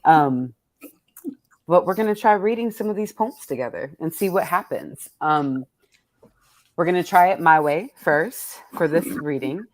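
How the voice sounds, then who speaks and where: A woman's voice: low-pitched, lively intonation, a little slow, no room sound. A woman speaking as a poet and host, casually and candidly introducing a poetry reading, salty-tongued and thoughtful as she searches for words.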